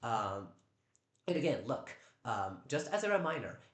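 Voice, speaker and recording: A man with a naturally loud voice talking on a live stream at home, defensive and stammering over repeated words. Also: mid-range voice, very animated, moderate pace. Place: at home in a downstairs room. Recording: echoey room.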